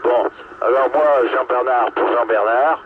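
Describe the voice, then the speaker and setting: A man's voice: mid-range voice, measured pace. A man in his late sixties chatting casually over two-way radio as a hobbyist. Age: 60s. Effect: two-way radio transmission. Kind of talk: casual amateur radio chat. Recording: tinny, noisy two-way radio.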